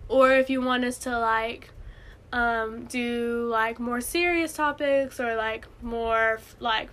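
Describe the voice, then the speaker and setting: A woman's voice: sits high, a bit bright. A young woman co-hosting a podcast in casual, unscripted chat, speaking informally with plenty of "like". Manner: informal, frequent "like" hedging. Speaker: podcast co-host.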